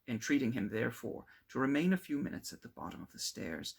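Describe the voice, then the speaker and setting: A man reading classic literary fiction aloud as an amateur narrator, with dramatic, deliberate phrasing. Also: mid-range voice, very animated, gravelly, slightly rough. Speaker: amateur audiobook reader.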